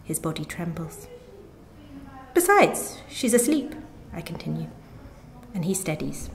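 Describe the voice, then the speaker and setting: A woman reading her short story aloud in a measured, literary narration style. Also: lowish voice, sing-song, vocal fry. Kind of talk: live reading of literary fiction.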